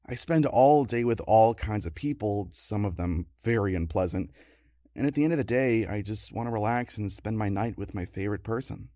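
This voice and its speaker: A man playing a patient, affectionate partner with dry, gentle wit in a naturalistic comedy audio drama. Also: fairly low pitch, animated, moderate pace, no echo, natural voice. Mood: wry affection. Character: patient, affectionate, wry husband or boyfriend.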